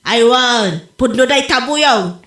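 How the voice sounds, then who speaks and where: Woman acting a high-pitched, frank village wife. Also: expressive, slow, fairly bright tone. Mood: frank, amused. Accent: Ilocano. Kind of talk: Ilocano comedy drama acting. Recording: roomy echo.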